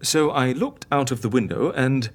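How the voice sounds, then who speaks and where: An actress playing a hesitant, easily flustered woman in an audio drama, speaking haltingly. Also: a bass voice. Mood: tentative, confused. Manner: halting, stammering.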